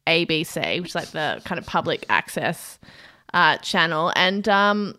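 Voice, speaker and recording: A woman co-hosting a casual podcast, blunt and quick to swear. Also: low voice, sing-song, sharp tone, dry recording, studio quality. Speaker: podcast co-host.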